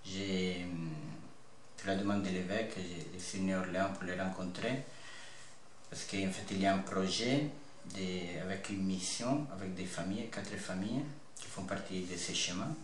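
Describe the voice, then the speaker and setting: Young male interviewee, Italian accent, low register, earnest halting testimony, slight noise behind. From north-east Italy (Friuli). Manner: earnest, halting phrasing with repeated words. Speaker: interview guest, seminarian about to be ordained priest. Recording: echoey room with faint background noise.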